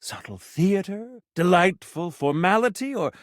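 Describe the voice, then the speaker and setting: A man's voice: high voice, expressive, a little slow, dry recording, clean studio sound. An actor voicing a pompous, theatrical male food critic for a video game, speaking in a florid, haughty way and savoring each word. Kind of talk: video game character acting.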